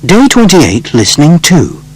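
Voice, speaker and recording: A man's voice: a little high, huge pitch swings, measured pace, a little mellow, slightly rough, rough recording. A man presenting in a scripted radio style, as announcer and host for a language lesson.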